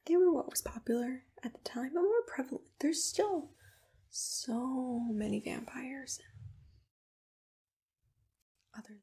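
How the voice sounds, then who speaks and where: A woman playing a gentle, soothing monster matchmaker in a whispered ASMR roleplay, unhurried and reassuring. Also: high-pitched, animated, full character voice. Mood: chatty, confiding. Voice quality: breathy, whispery. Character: soft-spoken, accommodating female monster matchmaker. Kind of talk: ASMR personal-attention roleplay, mostly whispered.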